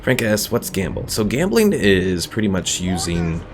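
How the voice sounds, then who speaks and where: A man's voice: low voice, very animated, normal speed. A man giving casual live gameplay commentary and chatting with viewers, thinking aloud as he goes. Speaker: game streamer playing a strategy game. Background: game music.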